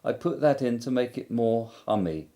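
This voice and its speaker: A man voicing a cheerful, muddled and simple young bear in a storybook reading, slow and sing-song with humming. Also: medium pitch, animated, dark tone, lightly acted.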